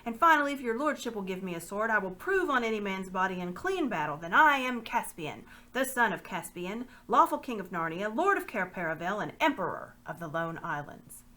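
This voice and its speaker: A woman's voice: medium pitch, big pitch swings, brisk, few pauses, bright, forceful tone. A woman reading the part of a young boy king, speaking proudly and formally. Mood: regal.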